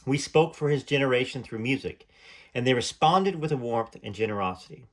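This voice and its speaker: A man's voice: medium pitch, expressive, fairly quick. A man reading aloud from a memoir in an earnest tone, stopping now and then for chatty, hesitant asides. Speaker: channel host reading from a memoir.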